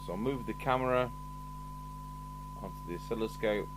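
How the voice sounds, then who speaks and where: Male repair vlogger, lowish voice, matter-of-fact bench commentary, noticeable background hum. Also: normal speed. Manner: matter-of-fact, thinking aloud while working. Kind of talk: hands-on workbench demonstration commentary. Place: indoor radio repair bench. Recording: noisy, with steady hum.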